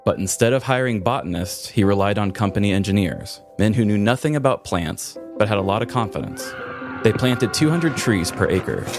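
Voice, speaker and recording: A man with a low voice and an American accent speaks as a podcast host. His delivery is mock-grandiose and sarcastic, in a comedic style. Music plays clearly behind him. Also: lively intonation, normal speed. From American Midwest. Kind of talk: scripted comedic monologue and banter.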